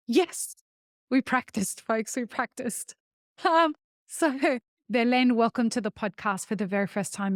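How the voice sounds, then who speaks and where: A woman's voice: medium pitch, dry recording, studio quality. A woman hosting a podcast, talking to her listeners in an enthusiastic, conversational way and often calling them folks. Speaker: podcast host.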